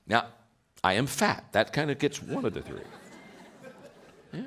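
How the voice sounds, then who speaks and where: A man with a deep voice giving a motivational talk, telling stories with many restarts and acted-out quotes. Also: wildly swooping pitch, unhurried, creaky, a bit bright, a faint crowd. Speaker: leadership speaker.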